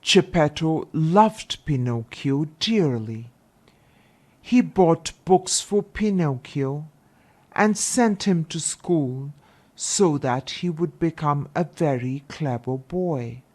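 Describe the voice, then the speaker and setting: A woman narrating a children's fairy tale with deliberate, expressive phrasing. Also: deep voice. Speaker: storyteller.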